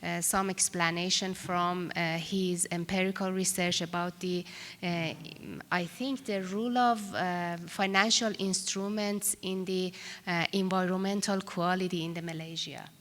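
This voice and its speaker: A woman's voice: lowish voice, steady tone, unhurried, a bit bright. A woman moderating a conference panel, speaking hesitantly with many fillers. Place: large conference hall. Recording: long conference hall reverb.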